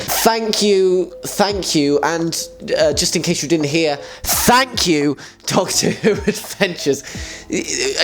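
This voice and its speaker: A young man who hosts a fan community show. He talks in a casual, self-mocking way, laughs often, and sounds a little nasal from a cold. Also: a little high. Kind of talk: casual solo video chat.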